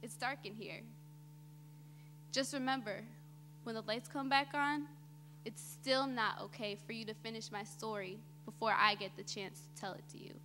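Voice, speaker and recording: A young woman performing a theatrical spoken-word monologue on stage, with deliberate, dramatic phrasing that swells emphatically and drops quiet. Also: a little high, fairly even intonation. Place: indoor auditorium stage before an audience. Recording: reverberant hall, faint background noise.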